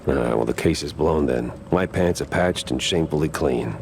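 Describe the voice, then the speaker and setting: A man's voice: a bass voice, normal speed, creaky, a little hiss or hum. A man playing a cynical, wisecracking private eye and war veteran in a cartoon noir game, wry and hardboiled. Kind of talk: noir video game acting and narration.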